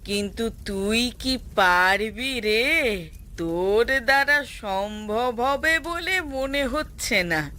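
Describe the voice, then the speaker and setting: A woman's voice: on the high side, expressive, slow pace, pushed and bright, full character voice. A woman playing a stern, commanding and fearless holy guru in a horror cartoon. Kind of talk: cartoon horror drama acting.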